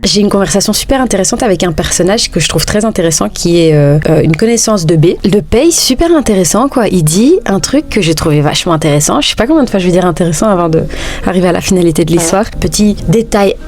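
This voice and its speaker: Woman, 30s, vlogger, sassy joking chat, lower voice, mixes in Dutch phrases. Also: expressive, fast talker, darkish tone, faint music behind. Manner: playful, sassy, jokes often; switches between French and Dutch. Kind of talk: casual chatty vlog.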